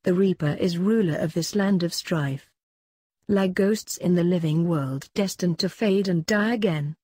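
A woman voicing a gentle, fading female ghost, a sympathetic friend, speaking plainly in a podcast story. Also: on the low side, measured pace, slightly rough, no echo, clean studio sound. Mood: somber.

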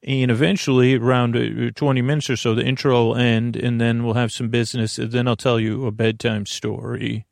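A middle-aged man with a creaky, gravelly voice hosting a bedtime podcast in a meandering, halting monologue that keeps interrupting itself. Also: mid-range voice, little pitch movement, darkish tone, dry recording, studio quality. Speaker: podcast host.

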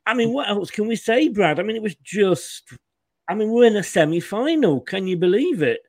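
A man's voice: high-pitched, expressive, normal speed, a little mellow, no room sound, clean studio sound. A man hosting a football fan live stream, rambling and laughing casually while losing his voice. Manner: laughing, rambling, repeats himself. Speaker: host.

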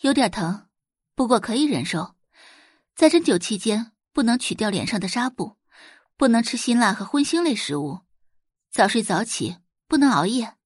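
A woman narrator puts on a cool, self-possessed young female healer in an audio drama. The delivery is polite, refined and matter-of-fact, in a soft-grained husky voice with a close, dry sound. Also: on the high side, sing-song, moderate pace, no room sound. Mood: calm, instructing. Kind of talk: Chinese audiobook drama dialogue.

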